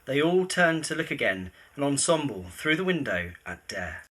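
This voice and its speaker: A woman reads scripted narration for an audio drama. Her voice is remarkably low and rough-edged, with animated rises and falls as she describes the action. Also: moderate pace.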